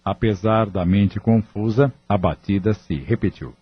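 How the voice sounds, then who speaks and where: A man narrates an audio drama from a script. His voice is low and somewhat breathy, and the recording sounds a little dull. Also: measured pace.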